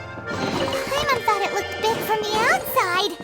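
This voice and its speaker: Tiny fairy sidekick, squeaky high, lively, cute, chatty, anime game, loud music. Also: female voice, takes it slowly, full character voice. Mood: excited wonder. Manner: cute, exclamatory, refers to self by name. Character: tiny floating childlike fairy companion, chatty and excitable. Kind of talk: anime video game character acting.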